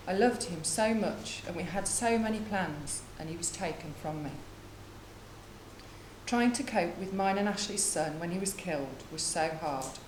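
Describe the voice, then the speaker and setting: A young woman reads a prepared personal statement at a briefing. Her voice is on the low side. The room is echoey and there is clear noise behind her. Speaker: bereaved partner giving a statement.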